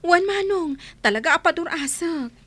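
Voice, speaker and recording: A woman's voice: very high-pitched, expressive, moderate pace, a bit bright. A woman with an Ilocano accent playing a humble, grateful, hard-up village neighbor in a radio drama.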